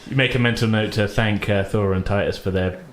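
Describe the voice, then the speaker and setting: A man's voice: lowish voice, steady tone, fairly quick, a loud crowd. A man acting as game master, casually explaining the rules of a tabletop game in a hedging way. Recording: roomy echo, faint background noise.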